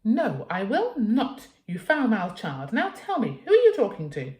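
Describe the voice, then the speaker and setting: A woman's voice: on the high side, wildly swooping pitch, measured pace, a little mellow, voice pushed up. A woman voicing a fussy, scolding mother in a children's storybook, calling out in a prim, insistent way. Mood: stern, scolding. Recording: echoey room.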